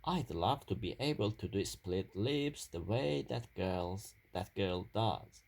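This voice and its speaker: A man reading as an earnest young storybook girl, husky. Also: fairly low pitch, animated, slow, a little mellow, full character voice. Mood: wistful. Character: young girl, earnest, torn music student and gymnast. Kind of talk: children's storybook character reading.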